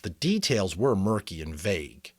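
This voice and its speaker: Male amateur narrator-host: lowish pitch, expressive deliberate phrasing, casual storyteller feel, dry recording. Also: dry recording. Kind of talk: dramatic storybook reading with casual asides.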